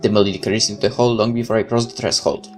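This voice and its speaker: A man's voice: fairly low pitch, steady tone, racing, darkish tone, soft background music. A man reading a horror visual novel aloud as a let's player, with casual commentary of his own.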